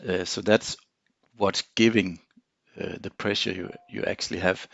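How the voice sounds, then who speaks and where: Low, creaky-voiced man explaining haltingly. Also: little pitch movement, no echo, highs cut off. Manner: halting, hesitant explaining with frequent pauses. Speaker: engineer presenting a software tutorial. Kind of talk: informal technical screen demonstration.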